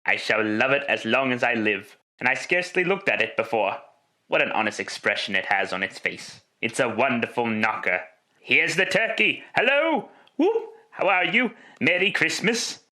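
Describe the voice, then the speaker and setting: A man playing an overjoyed old miser turned merry in a classic radio drama, exclaiming and stammering with excitement. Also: mid-range voice, normal speed. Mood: gleeful, fond.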